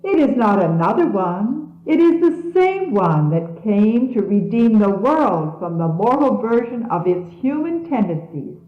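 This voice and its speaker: A woman reading religious messages like a sermon, solemn, measured and declamatory, in a 1970s archive recording. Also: medium pitch, big pitch swings, reverberant, muffled recording. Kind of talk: scripted scripture reading, sermon-like.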